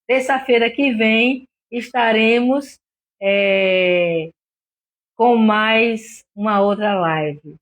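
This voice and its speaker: A slightly hoarse woman with a Brazilian accent, a doctor hosting an informal live stream, explaining things didactically with frequent check-in tags. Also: fairly high pitch, extremely slow. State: a little hoarse. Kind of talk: informal explanatory talk to online viewers.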